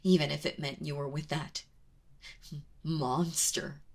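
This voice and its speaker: A woman playing a kind but obsessive, protective yandere in an intimate ASMR roleplay, speaking haltingly and often dropping toward a whisper. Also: deep voice, fairly even intonation, a little mellow, heavily acted. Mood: tender, determined. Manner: hesitant, halting, breathy with drops into whispering.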